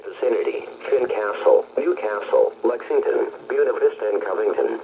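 A man's voice: medium pitch, normal speed, gravelly. A synthetic man's voice reading a scripted weather bulletin, played over a radio. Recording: muffled, noisy radio reception through speakers. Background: radio static and hiss.

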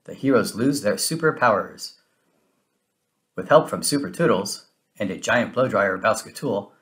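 A man reading a children's story aloud in an expressive, animated way with dramatic emphasis. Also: lowish voice. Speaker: storybook reader. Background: music.

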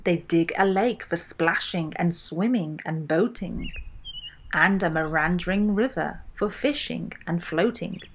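Young woman, children's story reader, unhurried pace, emphatic phrasing, lowish pitch, some ambient noise behind. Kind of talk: expressive storybook read-aloud for children.

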